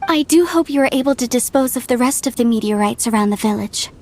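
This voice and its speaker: A polite village woman from a video game, played by an actress. Her voice is high, and she speaks in a formal, courteous, grateful manner. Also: fast talker, darkish tone, slight background noise, close to an everyday voice. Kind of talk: video game cutscene acting.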